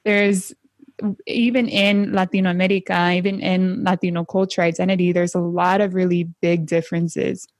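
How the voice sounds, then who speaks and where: A woman's voice: on the low side, moderate pace, dry recording, clean studio sound. A young woman from San Diego with a Honduran family, talking casually and often saying "like". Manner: chatty, heavy use of "like", animated quoting. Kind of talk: casual chat.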